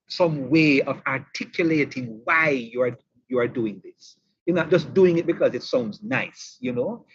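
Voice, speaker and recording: A man's voice: medium pitch, husky, dull audio. Man hosting an online teachers' session. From Jamaica. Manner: chatty, teasing, repeats points. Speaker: webinar host. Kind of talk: online professional development session.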